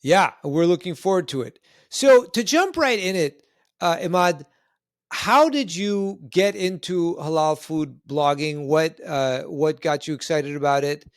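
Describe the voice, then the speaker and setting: A man hosts an interview podcast. His voice sits a little high, recorded dry with hardly any room on it. He speaks in a conversational interviewer's style. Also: expressive, a little slow, no room sound. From Canada.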